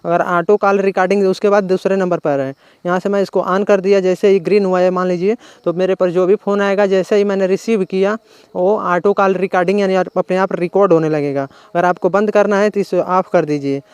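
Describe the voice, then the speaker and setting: A man's voice: high voice, fairly even intonation, brisk, darkish tone, clean tone, no echo. A man with an Indian accent narrating an informal phone tutorial as a tech channel host, explaining and rephrasing each step.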